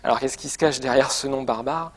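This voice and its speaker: A man gives an informal product demonstration in a mid-pitched voice. He explains things conversationally, as a shop presenter would, feeling for his words as he goes along. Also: fairly quick.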